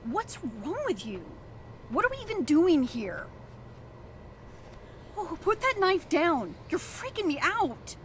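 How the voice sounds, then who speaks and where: An actress playing a frightened but defiant young woman facing a stalker, shrill and panicked, in a video game drama. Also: huge pitch swings, takes it slowly, long pauses, a little hiss or hum, heavily acted. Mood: alarmed, defiant. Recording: loud music over the voice.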